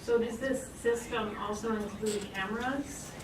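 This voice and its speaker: A woman's voice: mid-range voice, fairly even intonation, unhurried. Plain-spoken woman, distant in a roomy hall. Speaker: school board member. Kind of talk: asking practical questions at a public meeting. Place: indoor meeting room. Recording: roomy, noisy, distant meeting recording.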